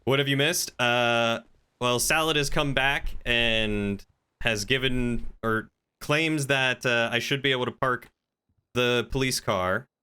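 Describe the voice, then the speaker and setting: A man streaming a roleplay game, chatting casually and talking in character in a wry, measured way. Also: mid-range voice, pushed and bright, clear, resonant tone. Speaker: game streamer playing a crime boss.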